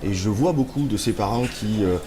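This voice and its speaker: A man's voice: on the low side, a little fast, background noise. A man, an author, answering interview questions in a thoughtful, hesitant way with many fillers, a little stuffy from a cold. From France. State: slightly congested with a cold. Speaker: author guest. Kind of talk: relaxed interview conversation.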